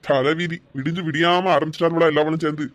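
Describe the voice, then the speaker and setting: A woman putting on a weary older family member who grumbles in a deep, low voice. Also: fairly even intonation, quick pace, lightly acted.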